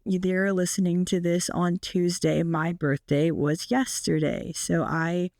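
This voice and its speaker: Hoarse, raspy young woman podcaster. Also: little pitch movement, measured pace, darkish tone, no echo, clean studio sound. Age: 20s. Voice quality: raspy, deep. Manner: chatty, many fillers. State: sick, hoarse. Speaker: podcast host. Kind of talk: casual solo podcast chat.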